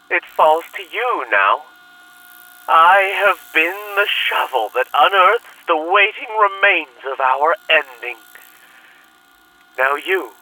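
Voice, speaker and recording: A sinister cult leader, voiced by a man, preaches in a slow, hushed drawl that swoops up and down. His voice is bright and sharp, and it sounds distant, like an old tape playing back across the room. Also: fairly high pitch, exaggerated voice. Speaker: cult leader recording a manifesto. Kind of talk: audio drama acting.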